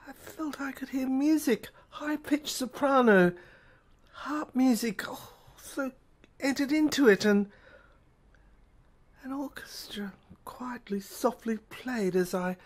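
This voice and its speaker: A woman performing dramatic first-person narration, haltingly, often repeating words and trailing off. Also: sits high. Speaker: narrator of an audio screenplay.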